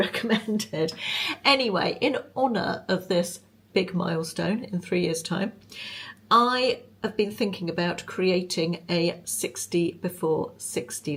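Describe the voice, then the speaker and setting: A British woman in her late fifties talks chattily to camera, rambling and digressing with frequent small chuckles, recorded on the camera mic with no lapel mic. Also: on the low side. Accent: British English. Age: 50s. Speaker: vlogger.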